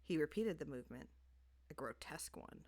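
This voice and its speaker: A woman's voice: low voice, animated, brisk, vocal fry, darkish tone. A woman reading a gothic short story aloud as dramatic scripted narration. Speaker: story reader.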